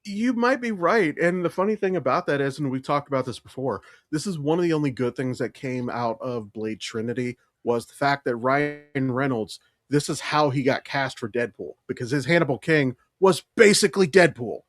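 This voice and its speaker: A man's voice: mid-range voice, normal speed, few pauses, no echo, studio quality. A man co-hosting a podcast, chatting casually and giving blunt, emphatic, profanity-laced opinions on films. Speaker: podcast co-host. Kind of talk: casual opinionated film chat.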